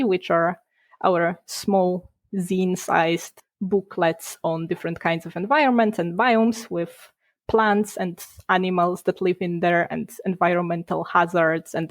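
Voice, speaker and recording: A young woman from Poland, a game designer, talking casually in a podcast interview, chatty and quick to laugh. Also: lowish voice, no echo. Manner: chatty, laughs between points, restarts words.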